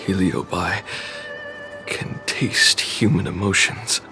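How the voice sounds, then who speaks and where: Game role: calm, commanding dying knight, low voice, slow grave delivery, music behind. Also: male voice, creaky, exaggerated voice. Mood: wistful, resigned. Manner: measured, grave, deliberate. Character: dying male warrior knight, calm, commanding old friend. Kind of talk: dramatic game dubbing. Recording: music under the voice.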